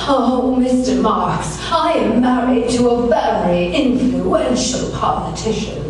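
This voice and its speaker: Slow, theatrical woman playing a glamorous film star. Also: mid-range voice. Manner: theatrical, affected. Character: haughty, scandal-fearing glamorous film actress, female client. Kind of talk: noir radio comedy acting. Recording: very echoey room, distant mic, clear noise.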